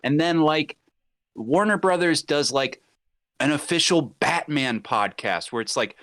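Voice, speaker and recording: A man with a slightly high, very animated voice chats casually on a podcast about films. He riffs freely and drops into mock quotes. The sound is dry, with no room echo. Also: unhurried. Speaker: podcast guest.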